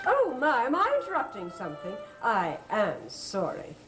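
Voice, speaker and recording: An actress playing a worldly, theatrical stage performer in a gothic soap, with a languid, dramatic delivery. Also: female voice, on the high side, huge pitch swings, moderate pace, music in the background. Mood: wry, wistful. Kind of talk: 1960s-70s gothic TV soap acting.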